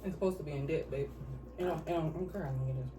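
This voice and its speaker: A woman's voice: deep voice, very fast. A woman chatting casually during a mukbang, blunt and swearing freely while she eats. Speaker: vlogger answering couple questions. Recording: echoey room, mic at a distance, faint hiss.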